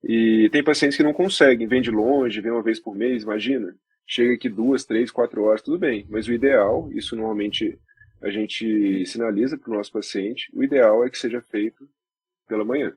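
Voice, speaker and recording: A Brazilian man, a doctor guest on a podcast, explaining in a measured way and restarting phrases as he goes. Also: fairly low pitch, slightly rough, rough recording. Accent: Brazilian Portuguese.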